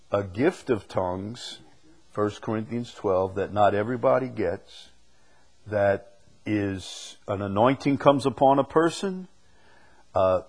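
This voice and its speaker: A male preacher teaches a Bible class in a conversational but emphatic way. His voice sits at a middle pitch and moves from loud declaration down to quiet, measured asides. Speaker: preacher leading a Bible study. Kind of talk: teaching with questions from the congregation.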